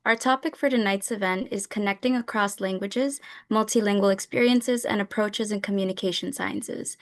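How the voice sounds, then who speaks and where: Young adult woman, host, friendly scripted opening on a video call. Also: medium pitch. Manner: upbeat and friendly. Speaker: student event host.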